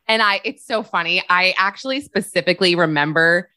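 Young woman, podcast host, animated, gushing, bright, dry close sound. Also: lowish voice, fairly quick, no echo. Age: young adult. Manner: animated, gushing, casually swearing. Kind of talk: casual interview chat.

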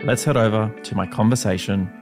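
A man's voice: lowish voice, fairly quick, music in the background. Upbeat Australian man hosting a podcast. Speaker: podcast host. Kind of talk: scripted episode introduction.

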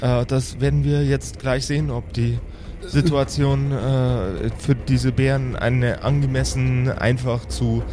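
A man's voice: medium pitch, steady tone, slow pace. A man improvising narration as the game master of a tabletop game. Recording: clear background noise.

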